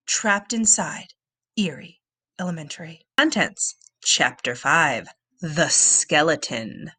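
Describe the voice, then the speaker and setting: Animated, unhurried woman storyteller. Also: mid-range voice, no room sound. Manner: dramatic, suspenseful delivery. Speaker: read-aloud storyteller. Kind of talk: expressive children's book narration.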